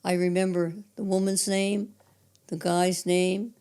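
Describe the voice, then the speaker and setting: An older woman from Cape Breton, a retired 911 dispatcher, telling detailed stories matter-of-factly in a hoarse, raspy voice. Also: on the low side, little pitch movement, unhurried, dark tone. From Cape Breton Island, Canada. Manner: matter-of-fact, detailed recollection. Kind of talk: casual storytelling interview.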